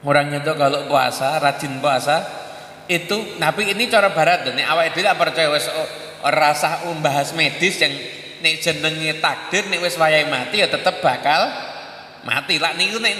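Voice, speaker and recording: A man's voice: a little high, bright, forceful tone. A man in his thirties with a Javanese accent, preaching a jokey sermon and playing out questions from the audience. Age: 30s. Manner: jokey, mixes languages, acts out audience questions. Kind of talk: Islamic sermon. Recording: echoey hall recording with hum.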